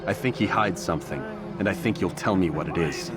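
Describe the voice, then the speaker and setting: Man acting low-voiced, stoic assassin. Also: brisk, loud music behind the voice, natural voice. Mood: cold, probing. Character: stoic, terse young male assassin, determined and blunt. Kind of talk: dramatic video game voice acting.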